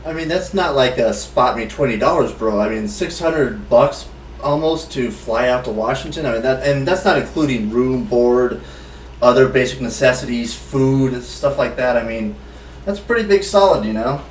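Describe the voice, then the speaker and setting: A man's voice: mid-range voice, expressive, normal speed, slight background noise. A male guest in a casual chat, talking in a laid-back, slangy way. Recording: roomy sound, distant from the mic.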